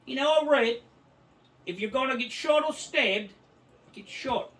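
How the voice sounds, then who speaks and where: Man playing a laddish British bloke with a put-on accent: high, bouncy, off-mic. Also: measured pace, a bit bright, recorded from a distance, full character voice, rough recording. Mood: cheeky. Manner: cheeky, mocking, laddish banter. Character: crude boastful British bloke, adult man. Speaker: podcast co-host. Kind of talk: improvised comedy bit.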